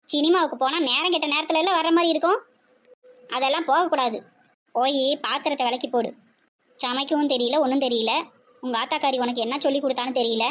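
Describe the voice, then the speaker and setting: A woman acts out a bossy older village mother-in-law, high-voiced and nagging. She scolds sarcastically with a southern Tamil Nadu accent, in the style of a domestic comedy skit. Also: natural voice.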